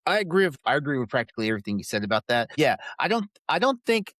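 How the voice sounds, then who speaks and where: A man's voice: mid-range voice, big pitch swings, racing, fairly bright tone, no echo, studio quality. A man co-hosting a podcast in casual discussion, hesitant and repeating phrases as he forms his thoughts. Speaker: podcast co-host.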